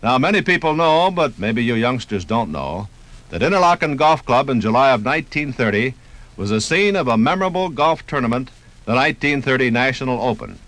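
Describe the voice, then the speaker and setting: An American man presents as a 1950s radio narrator and interviewer. His pitch is average for a man. The delivery is scripted storytelling. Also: very animated, a little fast, a bit bright, rough recording.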